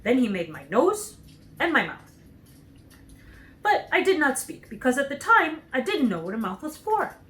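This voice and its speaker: A woman's voice: high-pitched, very animated, normal speed, pauses often, a bit bright, voice pushed up. A woman voicing a cheerful, naive scarecrow in a storybook, speaking earnestly and with emphasis. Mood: proud, curious.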